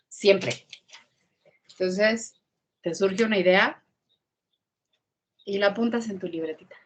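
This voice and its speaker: A woman, giving an online talk on writing in a lively, emphatic way and repeating key words. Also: mid-range voice. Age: 50s. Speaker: guest speaker on writing. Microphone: video call.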